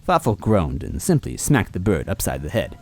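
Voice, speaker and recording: A man narrating a fan-fiction story aloud in a playful, animated way. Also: low voice, moderate pace, gravelly.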